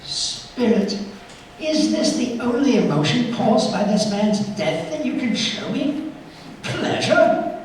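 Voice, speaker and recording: An old miser in a stage radio drama, pitched high. He is anguished and theatrical, begging in formal phrases. The voice carries from far off in a big reverberant hall. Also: sing-song, takes it slowly, heavily acted. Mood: pleading, distressed. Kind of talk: live stage radio play. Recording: echoey hall from far off, faint noise.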